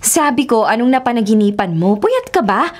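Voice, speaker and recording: A woman's voice: fairly high pitch, very animated, brisk. A woman playing a breezy, teasing young travel companion in a radio drama.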